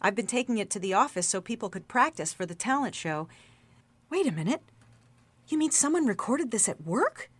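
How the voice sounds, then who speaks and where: An actress playing a young, excitable, chatty office worker in a radio drama, gushing and earnest. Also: female voice, fairly high pitch, brisk, slightly rough, no echo. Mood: excited, gushing. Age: young adult.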